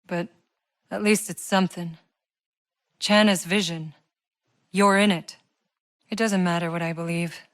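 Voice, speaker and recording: A woman's voice: lowish voice, no echo, studio quality, lightly acted. An actress playing a tough, sardonic female soldier in a sci-fi shooter game, with a wry, blunt delivery. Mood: matter-of-fact, frank. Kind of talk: video game voice lines, naturalistic acting.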